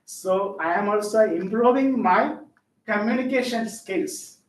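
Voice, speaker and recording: A man from Kerala with a Malayali accent and Malayalam as his first language, introducing himself as a guest at a club meeting, pausing often as he searches for words. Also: high voice. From Central Kerala, India. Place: meeting hall. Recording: echoey hall, distant mic.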